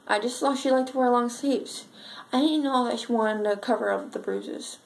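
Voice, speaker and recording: Woman acting sad schoolgirl, high earnest voice. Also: moderate pace, vocal fry, low-quality recording. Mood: wistful, sad. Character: sad, frightened schoolgirl recalling her missing friend. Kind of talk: amateur dramatic monologue.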